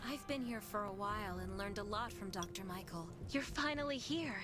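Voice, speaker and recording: A woman's voice: mid-range voice, normal speed, loud music behind the voice, lightly acted. An actress voicing a busy, caring female medic at a survivor outpost, earnest and practical, in a video game. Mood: relieved. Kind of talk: video game quest dialogue.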